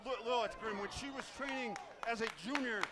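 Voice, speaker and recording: Earnest American man, high-pitched color commentary. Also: a little fast, crowd noise. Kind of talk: live sports commentary. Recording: loud music behind, live event. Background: loud music at a live wrestling event.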